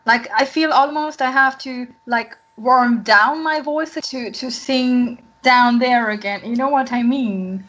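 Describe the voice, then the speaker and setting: A chatty woman in her thirties, a singing student, talking into a laptop mic with a somewhat high voice. The call audio is dull and lo-fi, and her sentences run on with many likes and right?s. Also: slow, few pauses, fairly bright tone. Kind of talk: casual questions in a lesson.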